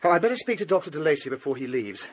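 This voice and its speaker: An actor playing an anxious, earnest young nephew with a well-bred English accent in a radio drama. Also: male voice, fairly high pitch, big pitch swings, very fast, a little hiss or hum. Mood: troubled, puzzled.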